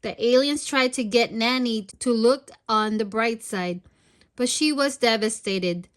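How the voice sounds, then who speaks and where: A woman with a high voice reads a storybook aloud for children. Her narration is expressive, in the manner of a storytime host. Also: measured pace.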